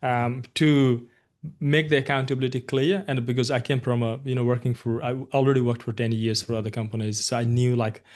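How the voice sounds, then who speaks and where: A man's voice: mid-range voice, little pitch movement, fairly quick, a little mellow. A man from Nepal, a guest agency founder, talking casually in an interview, often starting with "look" and "you know".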